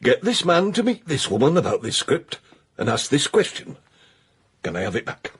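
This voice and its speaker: An actor playing a blustering, cocky retired private investigator with a Northern English accent, gravelly and pompous, in a radio drama. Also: male voice, on the high side, big pitch swings, normal speed, heavily acted. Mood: self-important. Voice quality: gravelly, raspy. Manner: pompous, patronising.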